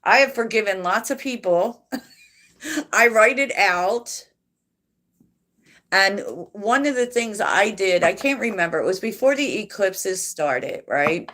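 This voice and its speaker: A woman hosting a tarot livestream, chatting softly and reflectively with viewers and laughing often. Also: medium pitch, animated, slow. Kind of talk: casual livestream chat answering viewers.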